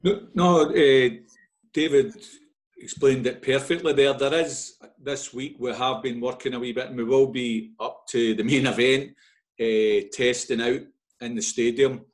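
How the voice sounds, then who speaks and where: A man's voice: medium pitch, fairly even intonation, a little slow. A Scottish man, a club official, giving fans an informal and enthusiastic update over a video call, often repeating himself.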